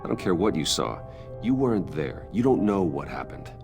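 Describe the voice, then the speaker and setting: A man's voice: deep voice, sing-song, normal speed. An actor playing a guarded, terse wealthy businessman with a secret hero life in a dramatic story video game. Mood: defensive. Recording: dialogue over clear background music.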